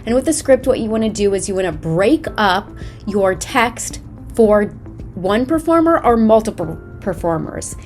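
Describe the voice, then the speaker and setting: Woman teaching over soft music. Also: mid-range voice, expressive, moderate pace. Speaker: drama instructor. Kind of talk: scripted instructional narration. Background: background music.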